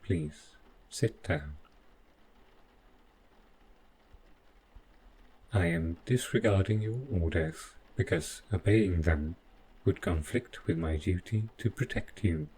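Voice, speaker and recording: A man playing an overprotective, possessive robot butler in an audio roleplay, calmly menacing yet devoted, with formal, measured, clinical phrasing. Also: low-pitched, a little hiss or hum, rough recording. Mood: controlled, insistent. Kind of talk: scripted audio roleplay addressed to the listener.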